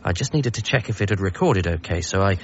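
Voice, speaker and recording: A grieving grown-up son in a radio comedy drama. His voice is low and swings expressively, wry yet hesitant and apologetic, on slightly muffled audio. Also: lively intonation, fast, heavy background noise. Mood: reflective. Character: Tom, anxious grieving adult son, wry and awkward.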